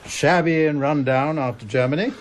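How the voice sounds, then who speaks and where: A man's voice: on the high side, moderate pace, a little hiss or hum, lightly acted. An actor playing a genial but stuffy, disapproving middle-aged English father in a naturalistic radio drama. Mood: dryly disapproving.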